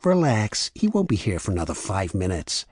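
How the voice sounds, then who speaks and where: A man's voice: on the low side, very animated, moderate pace, a little mellow, husky, no echo, clean studio sound. A man voicing a cocky, casual female con artist's assistant with a strong New York accent. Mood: breezy confidence.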